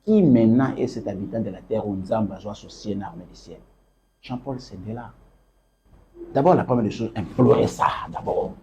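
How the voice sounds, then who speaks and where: A man's voice: on the low side, expressive, normal speed, dark tone, slight background noise. A man from Congo with a Congolese French accent, a party leader answering interview questions in an emphatic, repetitive, preaching way, quoting scripture. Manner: emphatic and repetitive, quotes scripture and mixes in Lingala. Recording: dull lo-fi recording.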